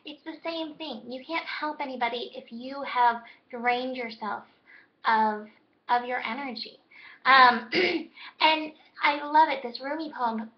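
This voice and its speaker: A woman astrologer giving a daily horoscope in a gentle, reflective, spiritual way, her voice hoarse as she loses it. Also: sits high, slow, highs cut off.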